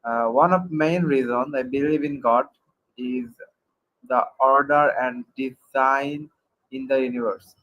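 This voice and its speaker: A man from Bangladesh calling into a live-stream debate, making a prepared case with many pauses and fillers. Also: mid-range voice, steady tone, lo-fi sound. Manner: hesitant, many fillers, reads prepared points. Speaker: caller. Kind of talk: prepared argument in a call-in debate. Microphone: computer mic on a video call.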